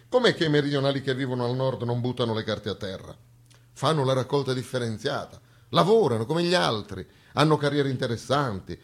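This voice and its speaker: Male monologist, rough and raspy mid-pitched voice, biting irony, theatrical pauses and emphasis. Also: fairly bright tone. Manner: sarcastic, emphatic, with rhetorical questions and pointed pauses. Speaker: stage actor and monologist.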